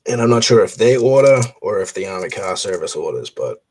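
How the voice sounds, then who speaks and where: A man's voice: sits low, animated, a little fast, a little mellow, husky. A man chatting casually in a live stream while sorting coins, rambling with many fillers and restarts. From Boston area. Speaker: coin roll hunter streaming.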